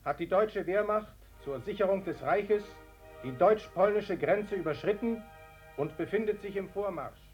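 A man's voice: high-pitched, normal speed, close to an everyday voice. A man delivering a strident wartime announcement in the manner of a 1930s radio bulletin. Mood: solemn, proclaiming. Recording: dull old radio broadcast with music.

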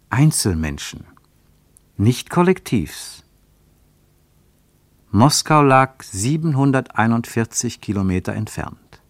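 A man narrating a literary German radio play as a reflective storyteller, with measured, lyrical phrasing. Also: medium pitch, no echo. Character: reflective male narrator of a literary travel account.

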